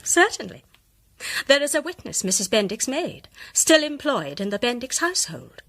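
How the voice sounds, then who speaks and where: A woman's voice: on the high side, very animated, moderate pace, a bit bright, heavily acted. A woman playing a poised, incisive lady amateur detective in a radio drama, precise and formal. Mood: assured, incisive. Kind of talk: British radio drama acting.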